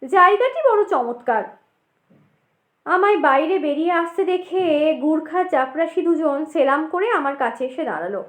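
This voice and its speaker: A woman reading a scripted ghost story as an expressive audio narrator. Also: high-pitched, normal speed. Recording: roomy echo on the voice.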